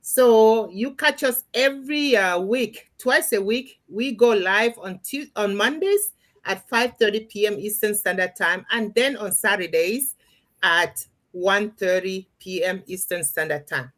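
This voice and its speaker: Host, female, middle-aged, from Cameroon; chatty live-stream panel host, mid-pitched. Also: a little slow. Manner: chatty, warm asides to viewers. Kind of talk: hosting a live online panel.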